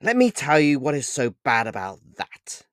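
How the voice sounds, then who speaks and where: A man's voice: mid-range voice, lively intonation, unhurried, dry recording, clean studio sound, natural voice. A man voicing an ancient god in a dramatic fantasy story, sardonic and scornful, speaking in a theatrical, declamatory way. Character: wild-eyed ancient male god Pan, sardonic, bitter and scornful.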